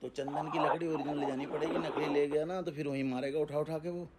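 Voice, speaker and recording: A man's voice: a little high, fairly even intonation, fast, darkish tone. A man speaking as a guru advising a caller in casual phone talk, giving instructions and often checking he is understood. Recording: phone call recording.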